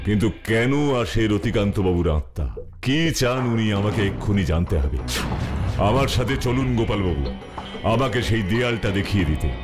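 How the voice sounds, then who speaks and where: A man voicing a strong, determined detective hero in an animated cartoon. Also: lowish voice, fairly quick, over music, close to an everyday voice. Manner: firm, determined. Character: strong heroic detective, adult man, resolute.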